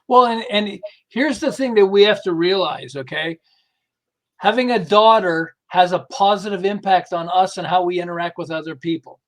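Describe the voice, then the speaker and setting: Podcast guest guy w/ high voice, animated, stop-start, explains stuff with stories. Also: normal speed. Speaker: podcast guest, behavioral management specialist. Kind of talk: conversational anecdotal explaining.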